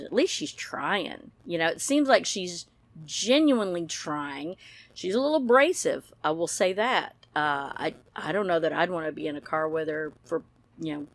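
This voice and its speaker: A woman's voice: low-pitched, lively intonation, normal speed, dry recording. A woman giving casual, blunt, opinionated commentary in a rambling way, with some mild swearing. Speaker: true-crime YouTube commentator.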